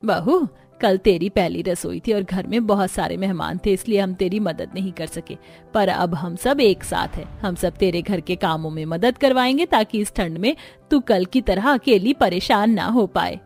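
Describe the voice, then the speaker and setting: A woman voicing a kindly, approving older in-law in an animated family story. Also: medium pitch, normal speed, soft background music, lightly acted. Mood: kind approval.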